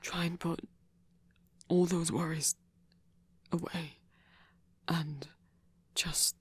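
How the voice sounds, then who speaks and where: A man voicing a flirty, courteous vampire in an intimate ASMR roleplay, speaking in a breathy whisper with slow, formal, deliberate phrasing. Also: fairly high pitch, little pitch movement, fairly bright tone, no echo, heavily acted. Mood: calm, coaxing. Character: flirty courteous male vampire, softly seductive.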